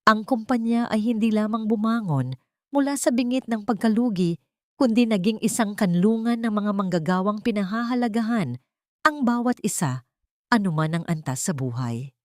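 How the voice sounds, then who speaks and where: A woman with a Filipino accent narrating a scripted dramatic story in a solemn, sentimental, measured way. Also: medium pitch, animated, a little mellow, no room sound, clean studio sound.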